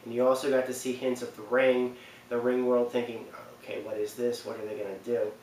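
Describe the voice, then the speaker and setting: A man's voice: medium pitch, little pitch movement, a little fast, a little mellow. A man hosting a gaming countdown with casual, unscripted commentary, rambling and often repeating himself. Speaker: host of a gaming video countdown. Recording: roomy, distant mic, faint noise.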